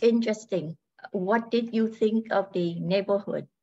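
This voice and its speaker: A woman's voice: medium pitch, mellow. Older woman acting as female robot wife, dull lo-fi sound, plodding pace, polite, cold, mechanical, amateur radio drama. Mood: mildly curious. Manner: robotic, measured, matter-of-fact.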